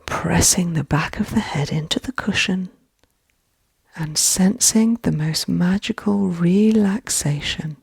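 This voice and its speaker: Slow, breathy woman guiding relaxation softly. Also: on the low side, no echo. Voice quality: breathy, hushed. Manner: gentle, soothing, often dropping into a whisper. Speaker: relaxation guide. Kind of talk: soft-spoken ASMR guided relaxation.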